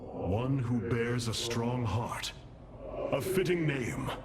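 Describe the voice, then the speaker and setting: An actor playing a noble, resolute alien warrior commander in a science fiction game, speaking with solemn, formal grandeur. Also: male voice, mid-range voice, sing-song, slow, over loud music. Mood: grave, reassuring. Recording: loud cinematic score behind.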